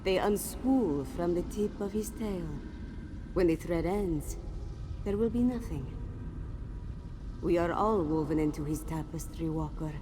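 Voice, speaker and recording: Woman voicing a low, solemn cat-woman sage, noisy background. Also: a little slow, long pauses, close to an everyday voice. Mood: cryptic, reflective. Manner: solemn, cryptic, speaks of self in third person. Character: grave, mystical Khajiit cat-woman warrior sage. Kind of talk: fantasy video game character acting.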